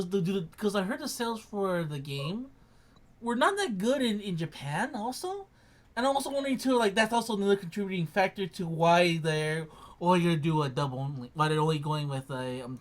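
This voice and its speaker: A mid-pitched voice chats informally about video games. The speaker is a stream co-host who talks in a halting way, often repeating words while working toward the point. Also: expressive. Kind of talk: casual gaming chat.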